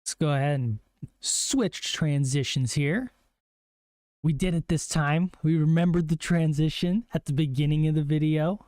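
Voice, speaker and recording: A young man talks in a relaxed, chatty way, peppering his sentences with ums and rights. His voice is hoarse and worn, yet it still comes through smooth and resonant, sitting a little high for a man. He is recorded close on a desk microphone in a dry, deadened space. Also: moderate pace, no room sound. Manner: laid-back, chatty, lots of ums and rights. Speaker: trading streamer. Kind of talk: casual live market walkthrough.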